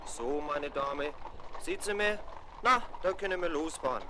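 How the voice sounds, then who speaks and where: A friendly coachman in a 1970s children's radio play, folksy and courteous, with a fairly high male voice. Clear vinyl noise runs behind him. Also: expressive, unhurried. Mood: folksy, obliging. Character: friendly folksy Frankfurt horse-carriage driver. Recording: noisy 1970s vinyl record.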